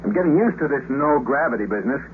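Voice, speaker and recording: An American actor playing a laid-back, wisecracking, solitary astronaut captain in a 1950s radio drama, breezy and colloquial. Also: male voice, medium pitch, big pitch swings, fast talker, close to an everyday voice. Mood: relaxed, chatty. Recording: muffled, noisy 1950s radio recording.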